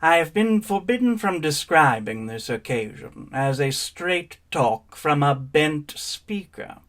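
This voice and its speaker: A witty old gentleman raconteur holds forth on stage. His voice is a little high and his delivery is slow and languid. He sounds arch and world-weary, shaping every line as an epigram. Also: expressive. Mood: arch, droll. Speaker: actor in a one-man stage show. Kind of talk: theatrical monologue.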